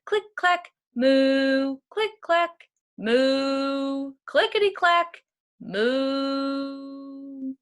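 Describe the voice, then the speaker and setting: A woman teacher giving an expressive, animated read-aloud for young children. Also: high-pitched, extremely slow. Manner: expressive, clearly addressing children. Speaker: elementary school teacher.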